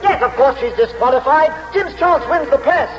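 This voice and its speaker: Brash high-pitched racecourse shouter. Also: male voice, animated, normal speed, slight background noise. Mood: excited. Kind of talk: 1940s radio acting. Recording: dull old radio, music and crowd.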